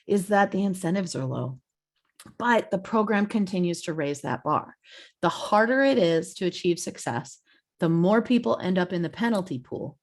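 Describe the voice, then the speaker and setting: A woman giving an explanatory slide presentation as a webinar presenter, in a conversational way. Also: medium pitch. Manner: conversational, explanatory, checks in with "right?". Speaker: webinar presenter, program expert.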